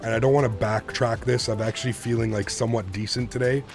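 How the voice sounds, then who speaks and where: A man's voice: mid-range voice, fairly even intonation, quick pace, creaky. Man in his thirties, straining slightly, music behind. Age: 30s. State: sore throat, straining voice. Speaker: flight simulator live streamer. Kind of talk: casual chat with stream viewers.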